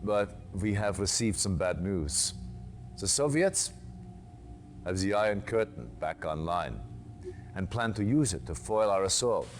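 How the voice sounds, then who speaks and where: An actor playing a commanding male officer who gives measured, authoritative mission briefings in a game cutscene. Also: sits low, expressive. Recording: game soundtrack music underneath.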